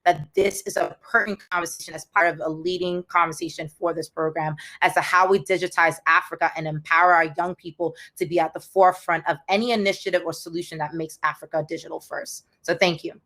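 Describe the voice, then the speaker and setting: A woman's voice: fairly low pitch, quick pace, hardly any pauses. A young woman with an American accent, speaking energetically as a panelist on a video call. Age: young adult. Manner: energetic, emphatic, repeats words.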